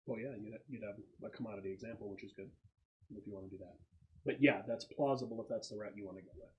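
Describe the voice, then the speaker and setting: A man's voice: fairly low pitch, fast talker, lo-fi sound. A man acting as game master, explaining a scenario to players in a conversational way.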